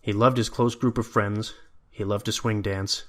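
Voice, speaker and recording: A man's voice: lowish voice, steady tone, normal speed, a bit bright. A man reading scripted story narration as the narrator of an audio drama.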